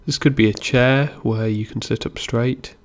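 A man gently guiding a meditation as an instructor, in an unhurried way with pauses between instructions. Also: medium pitch, steady tone. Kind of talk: guided body scan meditation narration.

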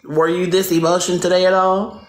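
Woman doing a judgy church lady, blunt, lowish voice, echoey phone live audio. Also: little pitch movement, fairly quick, soft background music, natural voice. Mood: judgmental. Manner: blunt, prim and sharp-tongued. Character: prim churchgoing Southern woman, judgmental. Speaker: livestream guest. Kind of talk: in-character live chat game. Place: room lit purple, indoors. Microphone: phone camera on a live stream. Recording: roomy phone livestream audio.

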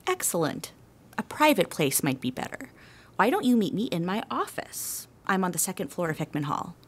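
A woman's voice: on the low side, big pitch swings, moderate pace, husky. A woman playing a kindly, courteous female history professor in an audio drama. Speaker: student actor.

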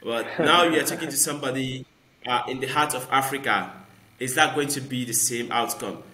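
A man's voice: mid-range voice, normal speed, sharp tone, echoey room. A man from Sierra Leone speaking as a guest over a video call with a headset, in an earnest, questioning way.

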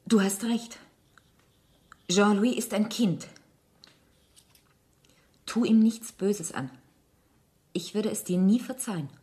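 Female actor as composed wife, medium pitch, candid, restrained, deliberate delivery, radio drama. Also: warm tone, a little hiss or hum, close to an everyday voice. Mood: guarded. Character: composed, candid wife leaving her rich husband. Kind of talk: German radio drama acting.

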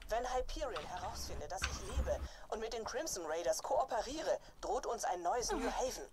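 A woman's voice: medium pitch, sing-song, normal speed, noisy background, natural voice. An actress playing a tough, secretive rebel woman giving orders in a video game. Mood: urgent, conspiratorial. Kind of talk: scripted game dialogue.

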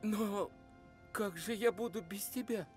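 An actress voicing a naive, curious little boy gnome in an animated film dub, with a childlike, wondering way of talking. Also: medium pitch, unhurried, soft background music, natural voice. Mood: earnest, wistful. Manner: childlike, wide-eyed, wondering.

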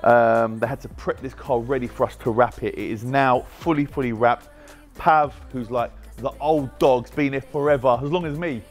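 A man's voice: medium pitch, normal speed, soft background music. A British man in his thirties, hosting a casual, jokey walkaround of his car wrap workshop and chatting with his staff as he goes. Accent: British English. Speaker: car wrap shop owner and host. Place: large bright indoor car workshop.

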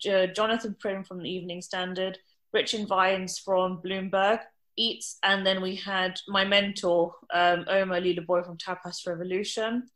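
Woman, 30s, restaurant-owner guest. Bright tone, animated storytelling, loose with fillers. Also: mid-range voice. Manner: animated anecdote-telling with many fillers. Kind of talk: podcast interview storytelling.

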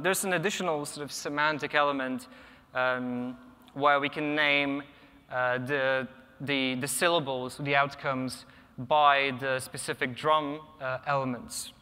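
A man's voice: medium pitch, steady tone, unhurried, pushed and bright, clean tone. A young man whose first language is Polish, giving a technical conference talk from a lectern, thoughtful, with many ums and restarts. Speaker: conference speaker. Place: large conference hall, at a lectern. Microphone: lectern microphone. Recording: long hall reverb.

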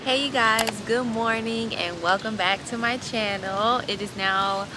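A young woman chatting casually to her phone camera at arm's length while vlogging outdoors at a sunny resort, bubbly and quick to laugh. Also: fairly high pitch, over music, poor audio. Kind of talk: casual travel vlog chat. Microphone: phone camera held at arm's length.

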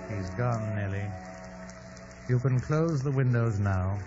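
An actor playing an anxious but firm gentleman attending a dying man in an earnest radio drama. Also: mid-range voice, takes it slowly, close to an everyday voice. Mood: urgent. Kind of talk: 1940s radio drama acting. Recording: muffled old radio broadcast with music.

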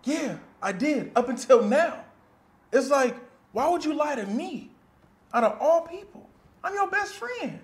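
A man's voice: high-pitched, big pitch swings. A man playing a sassy, wounded best friend. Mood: hurt, sassy. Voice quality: sassy, theatrical, drawn-out delivery. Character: dramatic jilted male best friend, sassy. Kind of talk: comedy skit acting.

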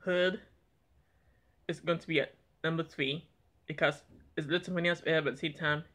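A young man talking plainly and a little haltingly as he reviews eggnog on a home vlog, filmed by a camera on the table in a small room. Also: on the high side, steady tone, pushed and bright. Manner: plain, unhurried, slightly halting talk. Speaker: product reviewer. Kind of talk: casual taste-test vlog. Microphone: camera on table in front.

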